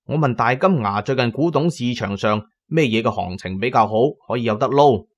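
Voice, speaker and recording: An actor with a Cantonese accent playing a pragmatic tomb raider who tells his own adventures in a radio drama, measured and colloquial. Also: mid-range voice, lively intonation, lo-fi sound, lightly acted. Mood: wry, narrating. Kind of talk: first-person radio drama narration and dialogue.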